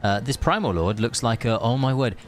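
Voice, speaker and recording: A man's voice: medium pitch, animated, a little fast. A man streaming a game, chatting casually and rambling in friendly asides to his viewers. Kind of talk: casual live gaming stream chat. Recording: music behind the voice.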